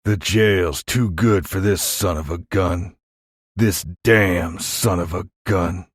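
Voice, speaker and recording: Man acting a deep, grim Old West sheriff. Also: dry recording, studio quality, full character voice. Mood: angry. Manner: slow, folksy, blunt.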